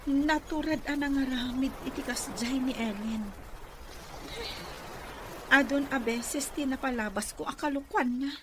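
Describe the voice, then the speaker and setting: A woman's voice: sits high, a little slow, long pauses. A woman playing an earnest, loyal young sweetheart in an Ilocano audio drama. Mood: aggrieved. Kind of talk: Ilocano radio-style drama acting. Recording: clearly noisy background.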